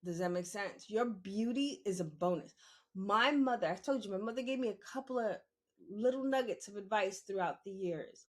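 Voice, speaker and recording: A woman in a candid, conversational monologue. Her delivery is assertive and emphatic, and she circles back to phrases to drive them home. Her pitch sits in a middle range for a woman. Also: normal speed. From New York City, born in the Bronx and raised in Queens. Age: 50s. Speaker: podcast host.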